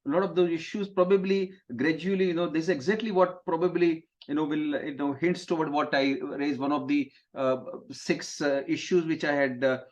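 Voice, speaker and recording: A man's voice: fairly high pitch, fairly even intonation, normal speed, few pauses. A man from India whose first language is Gujarati, moderating a webinar over a video call and rambling with many fillers. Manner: rambling, heavy "you know" fillers and restarts. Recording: dull lo-fi call audio.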